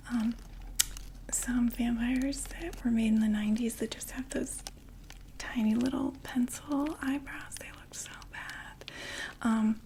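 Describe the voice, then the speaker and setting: A woman whispering a gentle, soothing ASMR roleplay as a friendly makeup saleswoman, often repeating words softly. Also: a little high, vocal fry, a little hiss or hum, low-quality recording. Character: friendly female vampire door-to-door makeup saleswoman.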